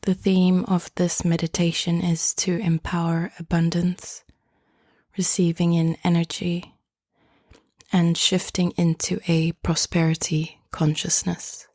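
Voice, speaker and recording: A woman hosting and leading a guided meditation in a soft, hushed, often half-whispered voice, with gentle and inviting phrasing. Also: fairly low pitch, completely flat delivery, slow, dry recording, studio quality.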